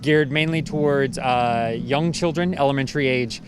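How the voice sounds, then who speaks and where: A man from Arkansas answering street interview questions in a relaxed, chatty way. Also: mid-range voice, moderate pace, background noise. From Arkansas, United States. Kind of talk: casual answers.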